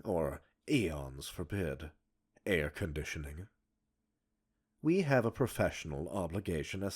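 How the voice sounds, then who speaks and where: A man's voice: low-pitched, darkish tone, heavily acted. A man playing a smug, arrogant male professor in an ASMR roleplay, erudite and teasing, flirtatious and condescending as he talks like a scientist. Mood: haughty. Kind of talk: ASMR roleplay audio drama.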